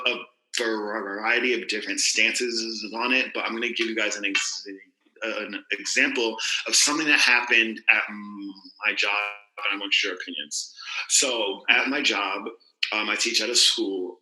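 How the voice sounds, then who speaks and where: A man's voice: lowish voice, sharp tone, echoey room, poor audio. A young man co-hosting a casual podcast, explaining a topic at an easy pace with small stumbles. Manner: explains slowly, reads a definition aloud with small stumbles. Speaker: podcast co-host presenting a debate topic.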